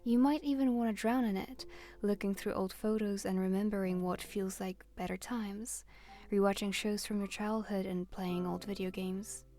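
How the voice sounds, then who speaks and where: Female video essayist, mid-pitched and mellow, reading reflective scripted narration earnestly in measured phrases. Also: steady tone, faint music behind.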